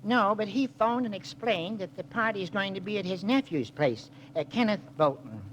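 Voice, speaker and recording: A meek small-time detective in a 1940s radio mystery. He is high-voiced, hesitant and fussily literal, on a slightly noisy old recording. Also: lively intonation, normal speed, fairly bright tone. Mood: fussy, hesitant. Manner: hesitant, fussy, precise. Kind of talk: 1940s radio drama acting.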